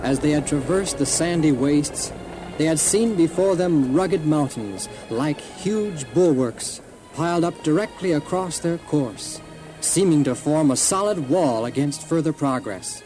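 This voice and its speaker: Higher-pitched male storybook narrator over music. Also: animated, measured pace, dark tone, rough recording. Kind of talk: solemn scripted storybook narration. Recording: music bed behind the voice.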